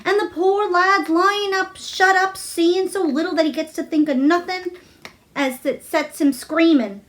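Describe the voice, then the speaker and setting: A woman's voice: very high voice, unhurried, pitched above the performer's own voice. A woman voicing a cheerful young Yorkshire country boy with eager, folksy dialect speech in a storybook reading. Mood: concerned, eager.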